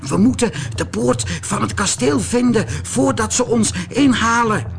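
Man doing a high-pitched, earnest boy wizard. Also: lively intonation, unhurried, creaky, faint music behind, heavily acted. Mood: urgent. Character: earnest, plucky young boy apprentice wizard.

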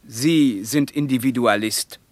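A man's voice: medium pitch, takes it slowly, dry recording. An actor playing a zealous, scornful Soviet Russian man in a radio play, lecturing emphatically. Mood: challenging. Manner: emphatic, lecturing, evasive under questioning. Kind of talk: mid-20th-century German radio play dialogue.

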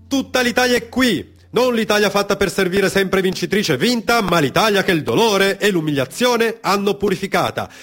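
A man's voice: high voice, fairly bright tone, pitched above the performer's own voice. A man playing an impassioned fascist orator declaiming a rhetorical political speech in a theatrical monologue.

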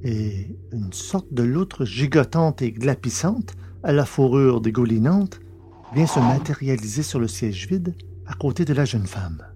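A man's voice: medium pitch, animated, unhurried, mellow, a little hiss or hum. A man narrating a science-fiction adventure novel as a scripted audiobook reading.

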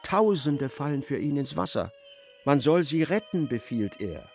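An actor narrating a literary radio play as a wry, expressive storyteller. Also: male voice, fairly high pitch, unhurried, vocal fry, soft background music, full character voice.

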